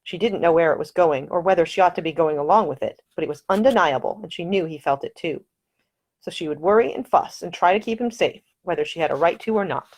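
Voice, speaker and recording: Woman author narrating her novel, calm and even, low-pitched. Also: fast. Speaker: author reading own novel. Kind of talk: scripted audiobook narration.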